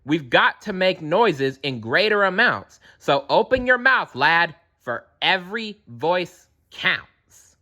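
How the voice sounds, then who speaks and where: A man voicing the small, frantic mayor of a tiny town, calling out in an excited, urgent storybook voice. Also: high-pitched, slow, few pauses, lo-fi sound, voice pushed up, exaggerated voice.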